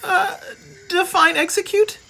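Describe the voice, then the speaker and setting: A man's voice: very high voice, fairly even intonation, a little slow, gravelly, slight background noise, full character voice, rough recording. An actor playing an anxious, pessimistic spaceship crewman in a sci-fi comedy audio drama, fretting nervously in wordy bursts. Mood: panicked. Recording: loud music behind.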